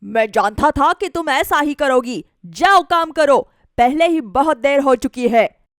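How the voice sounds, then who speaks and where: Woman putting on a bossy, greedy village man, high-voiced. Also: animated, measured pace, dry recording, studio quality. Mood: scolding. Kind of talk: animated moral story dialogue.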